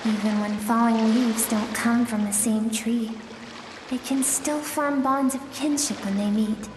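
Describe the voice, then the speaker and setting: A woman voicing a playful, mysterious spirit guide in a video game, musing and expressive as she tells her tale. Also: on the high side, unhurried, lightly acted. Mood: musing, wistful. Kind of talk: scripted video game dialogue. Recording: echoey hall-like reverb over music.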